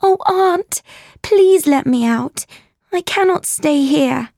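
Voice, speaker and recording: Woman as a high-voiced earnest orphan girl. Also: big pitch swings, normal speed, dark tone, no echo, clean studio sound. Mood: frightened, pleading. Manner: earnest, pleading. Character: frightened, defiant orphan girl of ten. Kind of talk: audiobook character voice.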